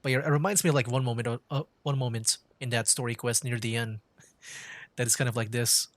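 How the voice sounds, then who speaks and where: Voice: mid-range voice, steady tone, brisk, no echo. Casual streamer with a mid-range voice. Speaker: streamer, VTuber playing a game. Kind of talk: casual gameplay chat and reading dialogue options aloud. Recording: game music under the voice. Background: game background music.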